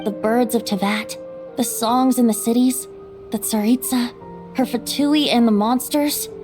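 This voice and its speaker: A woman's voice: fairly high pitch, steady tone, measured pace, lightly acted. A woman playing a whimsical, worldly-wise young male bard in an English-dubbed fantasy game, with a light boyish tone and a playful yet reflective manner. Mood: gentle, wistful. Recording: music under the dialogue. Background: game music.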